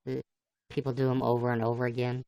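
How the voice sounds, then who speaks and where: A man with a gravelly, voice-changed sound, chatting casually and easygoing as he hosts a livestream. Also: medium pitch, monotone, quick pace, darkish tone, dry recording. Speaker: livestream host playing a computer-generated fox.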